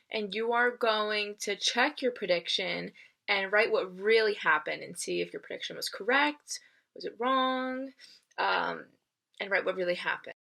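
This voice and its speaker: Female educator, medium pitch, expressive and instructive storybook read-aloud for a class. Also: vocal fry. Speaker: teacher reading a children's novel aloud.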